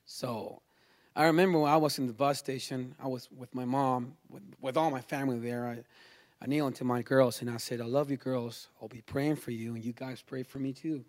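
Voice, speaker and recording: Chilean man giving a halting personal testimony. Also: medium pitch, fairly even intonation, darkish tone. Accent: Chilean Spanish. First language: Spanish. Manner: halting, informal, peppers talk with "you know" and "man". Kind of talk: personal religious testimony, spontaneous storytelling.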